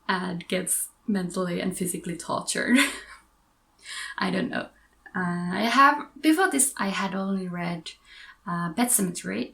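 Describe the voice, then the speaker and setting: Finnish woman chatting about books, gravelly. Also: lowish voice. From Finland. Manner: chatty, self-correcting, giggly asides. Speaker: book vlogger. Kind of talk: casual book haul chat.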